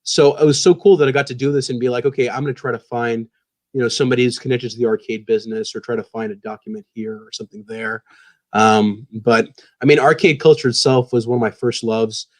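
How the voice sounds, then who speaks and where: A man's voice: mid-range voice, fairly even intonation, fast. A man in his thirties, born in the US and raised in Pakistan, chatting enthusiastically as a podcast guest with many fillers. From born in the US, grew up in Pakistan. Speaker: guest author. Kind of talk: casual podcast chat.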